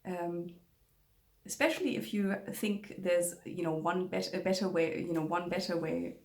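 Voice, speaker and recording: A woman's voice: lowish voice, normal speed, darkish tone. A young woman whose first language is German, chatting casually to camera about books, rambling with many fillers and restarts. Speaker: book vlogger. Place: home room with bookshelves. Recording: echoey room.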